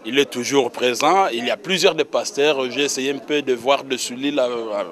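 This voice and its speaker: A man's voice: medium pitch, moderate pace, fairly bright tone. A man with a Congolese accent, a church minister recounting his calling in a religious interview. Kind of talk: personal testimony in a religious interview.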